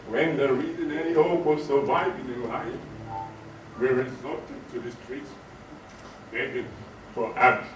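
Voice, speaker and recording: A man on stage voicing a blind widowed beggar mother, pleading and grateful in theatrical style. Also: fairly high pitch. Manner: slow, pleading delivery with blessings. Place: hotel hall stage. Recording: distant echoey stage recording, music behind.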